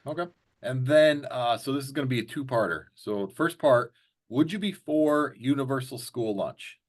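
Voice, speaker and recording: A man's voice: mid-range voice, moderate pace. A middle-aged man hosting a casual political interview, chatty and fond of joking hypothetical questions. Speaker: interview host.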